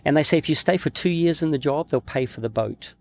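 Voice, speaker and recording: Podcast guest: middle-aged man from New Zealand, gravelly voice, relaxed storyteller who trails off with fillers. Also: a little high, fast talker. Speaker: guest, former pro snowboarder. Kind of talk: casual interview chat.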